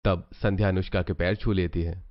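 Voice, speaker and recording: A man's voice: low voice, flat delivery, a little fast, dull audio, over music. A man narrating a scripted cartoon family story.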